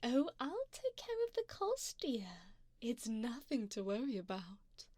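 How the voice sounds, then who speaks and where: Woman doing an ancient vampire sugar mommy, asmr roleplay, breathy whispers, kinda high voice, real slow, doting and seductive. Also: sing-song, darkish tone, no echo. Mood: doting, soothing. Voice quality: breathy, often dropping into whispers. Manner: slow, intimate, formal and coaxing. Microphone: close binaural microphone.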